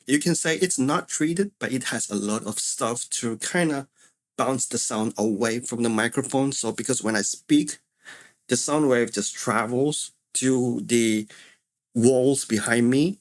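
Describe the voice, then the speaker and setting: A man presenting an audio tutorial in a casual, explanatory way, repeating himself for emphasis, recorded on a studio microphone in a home room. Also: medium pitch. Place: small untreated home room with furniture. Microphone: close studio microphones, condenser then dynamic.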